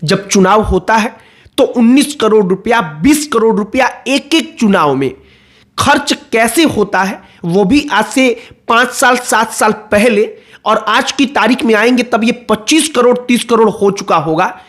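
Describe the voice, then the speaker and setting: A man's voice: high voice, measured pace, fairly bright tone. A man giving explanatory political commentary, going point by point and repeating phrases for emphasis.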